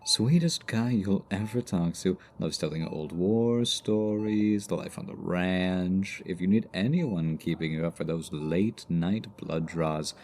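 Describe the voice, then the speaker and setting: A man's voice: low-pitched, unhurried, few pauses, darkish tone, a little hiss or hum. A man playing a cocky, teasing supervillain stuck as a hospital patient in an audio roleplay, talking with smug mock politeness. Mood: cocky, playful. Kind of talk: ASMR roleplay audio drama.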